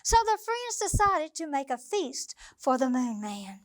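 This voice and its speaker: A woman storyteller reading a picture book aloud for young children at bedtime, with an expressive, sing-song delivery. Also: sits high, unhurried, no echo, studio quality.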